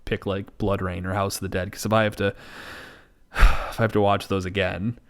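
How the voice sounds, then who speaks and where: A man's voice: sits low, little pitch movement, normal speed. Self-deprecating man bantering on a podcast. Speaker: podcast co-host. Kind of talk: casual comedic banter about movies.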